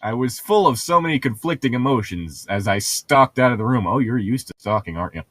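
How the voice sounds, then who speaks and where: Man, podcast co-host, lively swings in pitch, sardonic teasing, dry close sound. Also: a little fast, dry recording. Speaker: podcast co-host reading a novel aloud. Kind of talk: joking group read-along with commentary.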